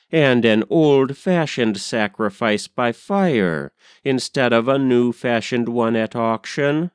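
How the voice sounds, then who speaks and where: Male poetry reader, medium pitch, smooth and resonant, deliberate storytelling delivery, dry close sound. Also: dry recording. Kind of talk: measured literary poem recitation.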